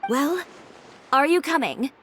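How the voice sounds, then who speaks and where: A woman playing a self-assured young fashion designer, terse and deadpan, in an anime video game. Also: high-pitched, takes it slowly, slight background noise, full character voice. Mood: teasing, brisk.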